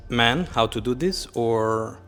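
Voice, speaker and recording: A man's voice: medium pitch, slow pace, fairly bright tone, clear, resonant tone, soft background music. A man speaking English as a second language as host, asking leading, coach-like questions in a casual interview. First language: Italian.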